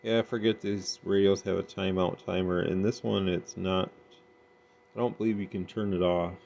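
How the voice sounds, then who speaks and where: Low-pitched, monotone man with gravelly fry. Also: normal speed. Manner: matter-of-fact, thinking aloud. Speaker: radio hobbyist demonstrating and testing equipment. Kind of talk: casual off-the-cuff product demonstration. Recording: dull lo-fi sound with faint noise.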